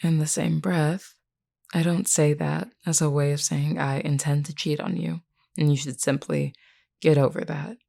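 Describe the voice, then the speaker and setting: A woman playing a flirty, frank, self-assured werewolf in an intimate, unhurried audio roleplay spoken to the listener. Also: level tone, heavily acted. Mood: frank, firm. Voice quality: low, creaky, mellow. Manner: unhurried, teasing yet earnest, deliberate.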